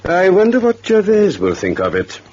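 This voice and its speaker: An actor playing a courteous, gently probing gentleman friend, polite and persuasive in old radio drama style. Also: high voice, huge pitch swings, moderate pace, husky, heavily acted. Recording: dull, noisy old radio recording.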